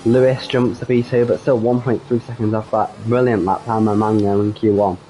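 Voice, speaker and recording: A man giving solo live commentary on an online racing league, slightly croaky from a cold, hesitant with frequent ums and self-corrections. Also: lowish voice, little pitch movement, brisk, mellow, a little hiss or hum, rough recording.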